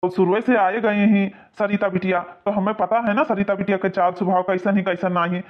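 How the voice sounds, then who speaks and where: A high-pitched cartoon groom's father reassuring the bride's family, as a man puts him on. He talks with an Awadhi accent in a boastful, generous way. The sound is muffled and lo-fi. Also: flat delivery, brisk, natural voice.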